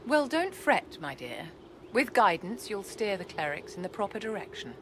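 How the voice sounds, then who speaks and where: An actress playing an imperious, elegant aristocratic enchantress in a video game, speaking in a poised, condescending, formal way. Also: female voice, medium pitch, very animated, a little hiss or hum. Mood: poised, calculating. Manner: poised, haughty, condescending endearments, measured formal speech. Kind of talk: video game cutscene acting.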